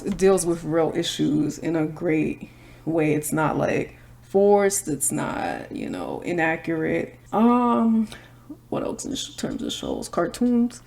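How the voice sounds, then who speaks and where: A woman's voice: deep voice, creaky, a little mellow. A woman, chatting casually and candidly while doing her makeup. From Brooklyn, New York. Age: 20s. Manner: chatty, candid, slangy asides. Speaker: vlogger.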